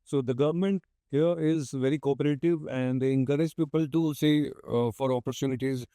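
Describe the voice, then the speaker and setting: A man's voice: medium pitch, fairly even intonation, brisk, warm tone, dry recording, studio quality. A man hosting an interview, asking long, winding questions and agreeably repeating his guest's answers. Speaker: podcast host, interviewer.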